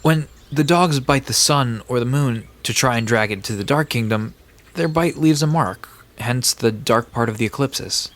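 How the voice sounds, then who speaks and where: A man's voice: medium pitch, moderate pace, a bit bright, close to an everyday voice. A man playing a laid-back, bookish park resident in an audio drama, casually explaining myths with a dry manner. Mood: amused, informative. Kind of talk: conversational audio drama storytelling.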